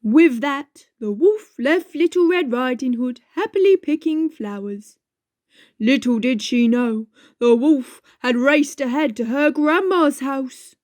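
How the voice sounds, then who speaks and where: Children's bedtime storyteller, a woman in character: playful, jolly, unhurried, high voice with lots of swoop. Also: lively intonation, a little mellow. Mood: storytelling. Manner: exaggerated, giggly, playful. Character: Gruff, jolly childlike storyteller character who loves cake.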